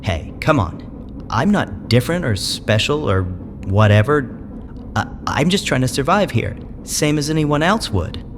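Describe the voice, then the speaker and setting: Slow, bewildered young space adventurer. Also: male voice, mid-range voice, big pitch swings, over music. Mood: hesitant, earnest. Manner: halting, incredulous, stammering questions. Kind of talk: full-cast audio drama acting. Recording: clear noise bed behind the voice.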